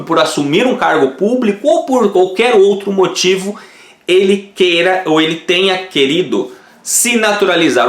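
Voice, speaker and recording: Man with a lively, high voice. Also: reverberant. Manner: patient, checks in with the viewer often. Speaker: online course instructor. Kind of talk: explaining at a whiteboard. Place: indoor room with a whiteboard.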